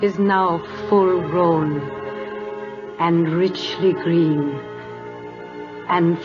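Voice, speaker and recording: A dying Siamese king in an old radio drama. His high voice moves very slowly and haltingly, solemn and tender, with formal phrasing. The dull vintage recording has music loud behind him. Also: fairly even intonation. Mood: solemn, fading. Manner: halting, deliberate, formal delivery. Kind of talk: 1940s radio drama acting.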